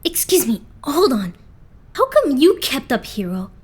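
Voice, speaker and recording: High, whiny anxious teen boy voiced by a woman. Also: big pitch swings, measured pace, darkish tone. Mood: flustered. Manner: whiny, flustered. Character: anxious, melodramatic teenage boy idol. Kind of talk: anime game fandub acting.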